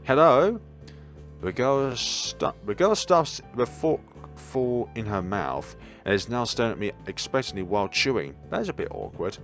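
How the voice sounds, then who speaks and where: Animated man narrating over music. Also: fairly low pitch, moderate pace. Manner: stumbles over words and rereads them. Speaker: video game player reading a visual novel aloud. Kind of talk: expressive read-aloud narration of a visual novel. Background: background game music.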